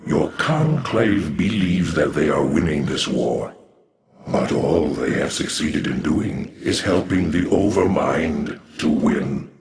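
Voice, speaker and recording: A man voicing an ancient alien dark templar warrior in a video game, grave and imperious, with solemn, archaic grand speech. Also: rumbling low, very animated, takes it slowly, exaggerated voice. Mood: scornful, ominous. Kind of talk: theatrical video game acting. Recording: roomy echo on the voice.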